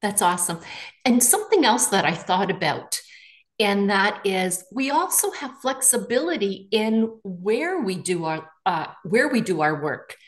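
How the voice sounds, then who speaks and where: A woman's voice: mid-range voice, animated, measured pace. Older woman chatting on a video call. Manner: warm enthusiastic, frequent "you know" fillers. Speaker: real estate agent and panel moderator. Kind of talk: casual webinar chat. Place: home living room.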